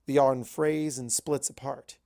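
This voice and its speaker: A story reader narrating scripted fiction. The voice sits at an ambiguous middle pitch with noticeable creak. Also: fairly even intonation, a little slow, darkish tone. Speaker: audiobook-style reader.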